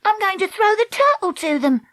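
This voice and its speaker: A frightened young storybook girl, voiced by a woman, squealing well above an adult pitch. She sounds anxious and urgent, easily panicked, in a close, dry recording. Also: very animated, normal speed, fairly bright tone, no room sound, heavily acted.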